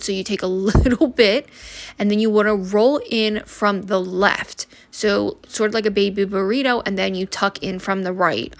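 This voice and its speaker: Young woman, casual cooking vlog, chatty, step-by-step, mid-pitched voice. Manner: chatty, explains step by step, unsure asides. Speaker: vlogger.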